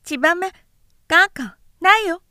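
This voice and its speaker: A woman's voice: extremely high pitch, animated, normal speed, bright, forceful tone, dry recording, studio quality, voice pushed up, exaggerated voice. A woman voicing a small, earnest boy with babyish, lisping speech in a storybook reading. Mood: angry. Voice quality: babyish lisping, mangled syllables.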